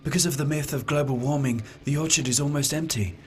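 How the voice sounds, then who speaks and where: A man voicing a melodramatic, discontented farmer's wife in her forties in a parody radio soap, with a husky voice. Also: on the high side, quick pace, faint music behind, lightly acted. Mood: troubled.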